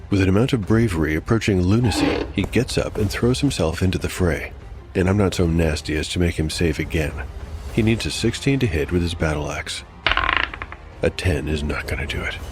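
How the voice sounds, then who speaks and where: A man with a very low voice works as game master and narrator over prominent background music. He tells the fight like a dark story, then steps out with wry comments and mild exclamations about the dice.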